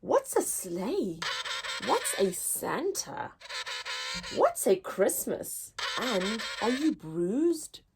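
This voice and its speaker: Casting: woman as bewildered little penguin, storybook, high and lively, short curious outbursts. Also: extremely slow, vocal fry, noisy background, heavily acted. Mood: puzzled. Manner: short exclamations and questions. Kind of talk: children's storybook character voice.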